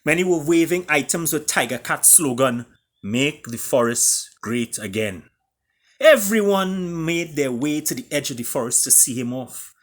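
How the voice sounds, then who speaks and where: A man reading and retelling a fable as its author, with dramatic pauses and emphatic delivery. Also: on the high side, lively intonation. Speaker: author reading his own book.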